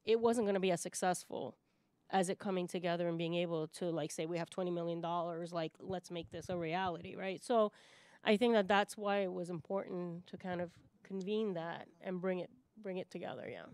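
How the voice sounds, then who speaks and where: A woman's voice: fairly low pitch, a little fast, darkish tone, smooth, no echo. A woman from Puerto Rico speaking emphatically and off the cuff in a panel discussion, often checking in with a tag question. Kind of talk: panel discussion, impassioned unscripted talk.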